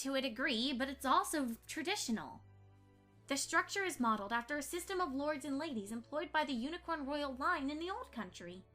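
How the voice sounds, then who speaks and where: A woman's voice: sits high, sharp tone. A woman playing a studious, precise pony who explains things in an articulate, didactic way in a fan audio drama.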